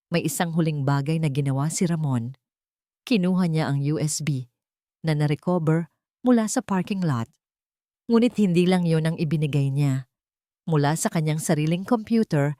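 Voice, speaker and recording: Low-pitched Filipino woman narrating a drama. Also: a little mellow, no room sound, clean studio sound. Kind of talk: scripted dramatic story narration.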